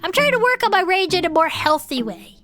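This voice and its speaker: A high-pitched turtle gardener in a comedy audio drama. The character is earnest, chatty and easily excited, a cryptid fan who hides some rage under the cheer. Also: female voice, a little fast, over loud music. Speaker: podcast co-host. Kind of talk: comedic character banter, partly improvised.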